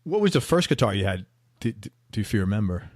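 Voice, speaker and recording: A man's voice: on the low side, sing-song, fast, a little mellow, no echo, studio quality. A man hosting an interview, talking in a laid-back, friendly way and often agreeing with his guest. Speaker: interview host. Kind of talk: casual interview chat.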